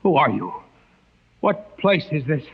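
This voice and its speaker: An actor playing a bold, hot-headed male rebel with a forceful, impatient manner in 1950s radio drama style. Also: on the high side, very animated, unhurried, breathy edge, exaggerated voice. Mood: frightened. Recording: dull, noisy old radio recording.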